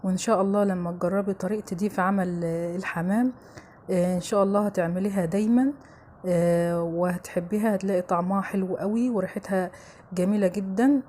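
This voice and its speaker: A woman's voice: mid-range voice, fairly even intonation, fast, dark tone, slight background noise. A woman with an Egyptian accent hosting a home cooking show, chatting familiarly to viewers as she narrates a recipe step by step.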